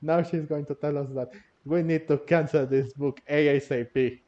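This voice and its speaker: A man giving casual game playthrough commentary in a halting, repetitive, giggly way, on a lo-fi mic. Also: a little high, steady tone, mellow. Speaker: gaming video host. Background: faint game music.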